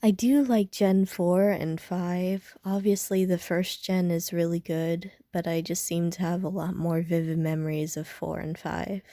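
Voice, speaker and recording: A woman plays a young gamer girl in an intimate audio roleplay. Her voice is mellow and close, with an unhurried, slangy delivery. She is hesitant, dry and a little prickly, always ready to mock herself. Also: on the low side, steady tone, no room sound. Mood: relaxed, chatty. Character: casual, self-deprecating, slightly prickly young woman gamer. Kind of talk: intimate audio roleplay, casual chat to the listener.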